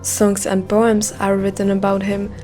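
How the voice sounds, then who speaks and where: Mellow-voiced Czech woman narrating. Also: mid-range voice, completely flat delivery, unhurried, faint music behind. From Czech Republic. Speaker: gaming YouTuber. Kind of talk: scripted video narration.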